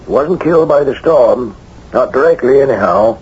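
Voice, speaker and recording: An actor playing a shrewd, steady American small-town sheriff in a radio mystery, folksy and deliberate. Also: male voice, mid-range voice, husky. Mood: dry, probing. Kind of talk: radio mystery drama acting. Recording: dull, noisy old radio broadcast.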